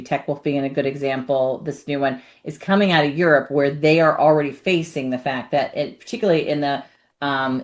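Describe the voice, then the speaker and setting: Low-voiced woman hosting a chatty podcast. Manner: animated, keeps checking in with "right?". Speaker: podcast host. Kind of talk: casual chat.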